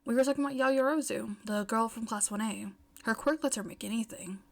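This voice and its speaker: A woman's voice: on the high side, a little fast, creaky. A woman voicing a principled teenage boy who scolds firmly, exasperated, in a story reading. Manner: firm, scolding. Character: exasperated, insistent, principled teenage schoolboy classmate.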